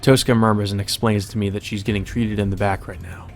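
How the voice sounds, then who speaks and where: Low-voiced man reading a visual novel aloud. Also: level tone, fast, soft background music. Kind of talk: reading narration aloud with casual commentary.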